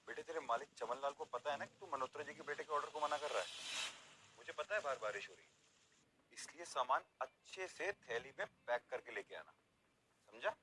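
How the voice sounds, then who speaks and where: Man playing an entitled, sharp-voiced rich brat. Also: mid-range voice, lively intonation, brisk, slight background noise, low-quality recording. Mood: impatient, threatening. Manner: brusque, commanding. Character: entitled, impatient rich man's son ordering by phone. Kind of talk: audio story character acting.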